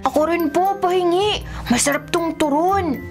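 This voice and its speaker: A woman's voice: very high voice, normal speed, soft background music. A woman playing a curious, stubborn, talkative young boy in a radio drama. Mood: eager, curious. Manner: childlike, talkative. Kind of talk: scripted radio drama acting.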